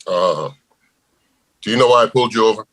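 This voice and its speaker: An actor playing a sheepish, shady motorcycle cop with an awkward, halting delivery at a table read. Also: male voice, fairly low pitch, a bit bright. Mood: official.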